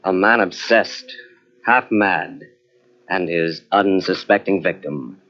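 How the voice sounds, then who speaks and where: A man announcing a vintage radio mystery in an ominous, dramatic, deliberate style. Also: low voice, a bit bright. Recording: muffled vintage radio broadcast on cassette.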